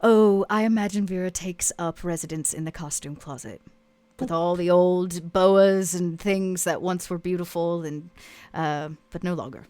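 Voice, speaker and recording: A woman's voice: fairly low pitch, steady tone, a little slow, mellow, smooth, no room sound. A woman playing a tabletop game, describing a scene thoughtfully as she improvises.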